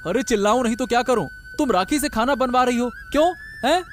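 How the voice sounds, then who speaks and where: A man's voice: very high-pitched, lively intonation, fast talker, a bit bright, soft background music. A man voicing an indignant, reproachful, protective husband in a Hindi cartoon story, full of exclamations.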